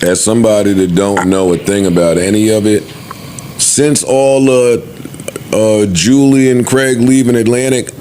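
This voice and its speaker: Low-pitched, emphatic man with background noise. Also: steady tone, unhurried, mellow. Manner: emphatic, repeats phrases for effect. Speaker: podcast host. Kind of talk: casual opinionated podcast talk.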